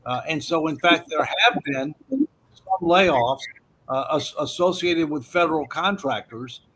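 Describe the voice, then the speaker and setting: Higher-voiced hesitant man explaining. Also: animated, measured pace, fairly bright tone, breathy edge, low-quality recording. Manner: hesitant, many "uh" and "okay". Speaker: economics forum host. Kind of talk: explaining economics in discussion.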